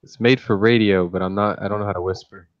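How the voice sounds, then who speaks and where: Deep male voice, very low, monotone, rambling casual chat, muffled lo-fi sound. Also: fast. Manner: rambling, easygoing, thinks aloud with many restarts. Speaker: fish-keeping streamer and YouTuber. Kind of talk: casual late-night chat.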